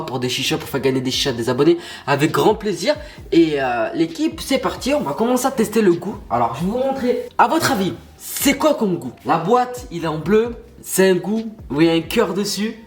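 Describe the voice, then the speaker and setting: A young man, stuffy from a cold, chatting casually and slangily to his viewers in a vlog. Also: fairly high pitch. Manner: slangy, pumped-up, talks straight to viewers. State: stuffy nose, has a cold. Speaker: YouTuber.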